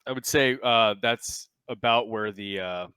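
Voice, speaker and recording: A man's voice: fairly low pitch, a little slow, pushed and bright, clear, resonant tone, no echo. A man running a tabletop roleplaying game as game master, explaining rules and narrating scenes conversationally.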